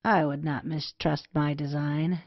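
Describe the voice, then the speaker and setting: A proud young gentleman suitor acted by a woman in an old stage comedy. The voice is quite low, with dry, wry, archaic phrasing. The sound is lo-fi and without room echo. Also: steady tone, a little slow. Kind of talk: period comedy audio play acting. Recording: dull, dry lo-fi audio.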